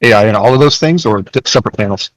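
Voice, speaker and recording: A man's voice: lowish voice, fast, dry recording, rough recording. A man co-hosting a podcast, casually chatting and putting questions to his colleague. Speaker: podcast co-host asking questions. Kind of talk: casual conversational interviewing.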